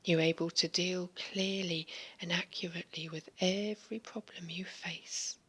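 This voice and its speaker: A woman guiding a hypnosis session in a slow, soothing, largely whispered voice, giving relaxation suggestions. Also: fairly low pitch, steady tone, a bit bright. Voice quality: breathy. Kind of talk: guided hypnosis recording.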